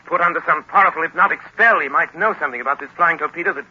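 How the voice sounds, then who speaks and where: An actor playing an earnest, polite but insistent young gentleman sleuth in an old-time radio drama. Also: on the high side, racing, slightly rough. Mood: urgent. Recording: muffled, hissy old radio broadcast.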